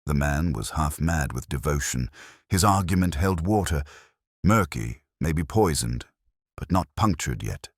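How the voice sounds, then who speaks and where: A man narrates hardboiled detective fiction in a very deep, crisp voice. His delivery is wry and moves freely up and down, and the recording is dry and close. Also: lively intonation, measured pace, no echo. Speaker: audiobook narrator.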